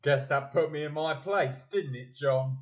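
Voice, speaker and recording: A man's voice: fairly high pitch, measured pace, natural voice. A man playing a jovial, teasing, grumbling husband in a community audio play. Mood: wry.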